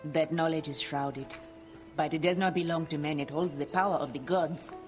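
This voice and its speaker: An actress playing a guarded, world-weary woman merchant in a video game, speaking gravely and dramatically. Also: sits low, fairly even intonation, normal speed, lightly acted. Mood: remorseful, fearful. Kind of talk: video game cutscene acting. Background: music.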